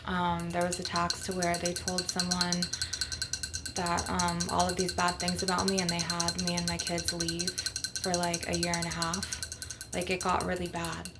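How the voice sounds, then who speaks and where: A woman's voice: on the low side, monotone, moderate pace. A middle-aged woman giving a casual, rambling, sweary ASMR monologue in a blunt manner. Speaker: ASMR creator leading an energy-clearing session. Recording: noisy, clicking and tapping sounds. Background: tapping, clicking and rattling on glass or crystal.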